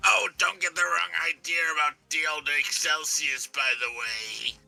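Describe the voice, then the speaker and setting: A man's voice: on the high side, expressive, moderate pace, vocal fry, sharp tone, faint music behind, heavily acted. A man voicing a smug, teasing kidnapper who drawls mockingly at pursuers in a video game read-through. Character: taunting, smug kidnapper toying with pursuers on phone. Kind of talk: live video game voice-over.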